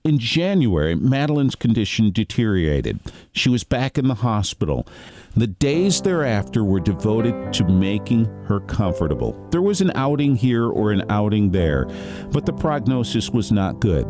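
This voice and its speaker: A man narrates a scripted story in a medium-pitched voice. His intonation rises and falls widely, and guitar music plays clearly behind him. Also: very animated, moderate pace.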